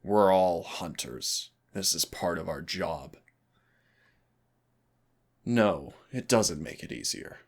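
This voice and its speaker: A man playing a teasing but stern demon hunter in an audio roleplay, speaking deliberately with dramatic pauses in a gravelly, husky voice. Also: low-pitched, expressive, exaggerated voice. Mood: stern, coaxing. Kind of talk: audio roleplay acting, intimate second-person dialogue.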